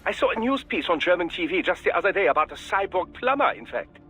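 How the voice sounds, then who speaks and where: Fussy, high-pitched, husky scientist. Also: male voice, big pitch swings, fast, sharp tone, soft background music, heavily acted. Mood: enthusiastic, lecturing. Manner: formal, verbose, precise, over-polite. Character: genial, fussy, erudite male scientist, the mission doctor. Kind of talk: video game voice acting.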